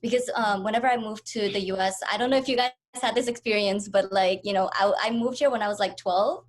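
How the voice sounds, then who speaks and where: A woman in her twenties, from Nepal and raised in California, a podcast guest telling personal travel stories in an earnest, halting way, full of "like" and "um". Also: mid-range voice, steady tone. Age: 20s. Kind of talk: personal storytelling. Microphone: video call.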